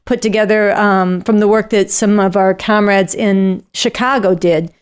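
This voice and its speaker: A woman's voice: mid-range voice, fairly even intonation, a little slow, smooth. A woman co-hosting a show, making an explanatory appeal directly to viewers. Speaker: show co-host.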